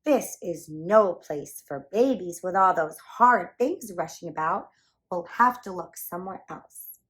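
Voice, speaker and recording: A woman's voice: on the low side, lively intonation, measured pace, fairly bright tone, poor audio. A woman voicing a fussy, protective mother mallard in a children's storybook, emphatic and easily flustered. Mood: flustered, indignant. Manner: emphatic, exclaiming.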